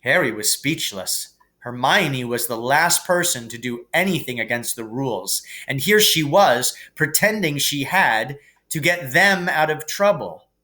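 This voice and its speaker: A man narrating a children's novel aloud as a teacher would, measured and expressive. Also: medium pitch. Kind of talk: storybook narration.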